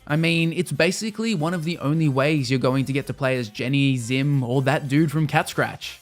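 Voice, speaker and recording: A man reading a scripted video game review in a measured, explanatory and opinionated way. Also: medium pitch. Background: music under the voice.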